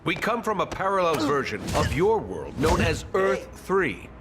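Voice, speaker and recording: A man's voice: a little high, very animated, moderate pace, noisy background. An actor playing a self-satisfied male superhero spokesman making grand announcements in a video game. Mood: grandiose.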